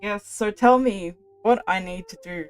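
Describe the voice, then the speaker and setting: A woman talking casually over her gameplay in a mid-range, low-leaning voice that turns slightly raspy. She has a stuffy nose from a cold and keeps her chat light and joking. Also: unhurried, faint music behind. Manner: joking, chatty. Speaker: streamer.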